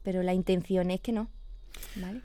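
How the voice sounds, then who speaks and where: A woman's voice: on the low side, steady tone, normal speed, warm tone, dry recording. A woman, a veterinarian explaining pet illnesses patiently on a radio chat, checking in with her listener as she goes. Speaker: veterinarian guest.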